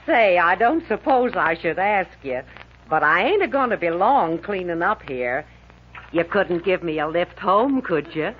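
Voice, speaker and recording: A woman's voice: on the low side, lively intonation, a little slow. A woman playing a blunt, nosy, folksy cleaning woman in a 1940s radio comedy. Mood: matter-of-fact, nosy. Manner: folksy, matter-of-fact. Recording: muffled, hissy 1940s radio recording.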